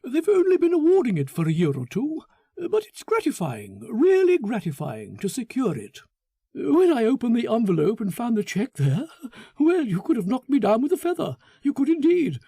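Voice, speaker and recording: Guy doing a gushy smug chatty fellow for an audiobook, really high pitched, soft-edged husky voice, sentimental and patronizing. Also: huge pitch swings, moderate pace, dry recording, heavily acted. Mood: gushing, pleased. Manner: effusive, prattling, patronizing. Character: eager, self-satisfied, gushingly sentimental thin man. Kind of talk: audiobook character acting.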